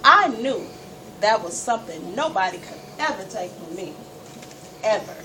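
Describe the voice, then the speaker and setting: A woman storyteller reading a first-person story aloud with dramatic, emphatic delivery and deliberate pauses. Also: mid-range voice, animated. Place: live event space, recorded from a distance. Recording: distant mic, noisy room ambience.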